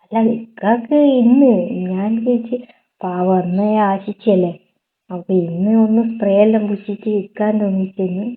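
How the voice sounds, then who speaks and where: Husky woman over a tinny phone line. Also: medium pitch, steady tone, moderate pace. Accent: Kerala Malayalam, northern. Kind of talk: casual intimate phone chat. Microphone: telephone call.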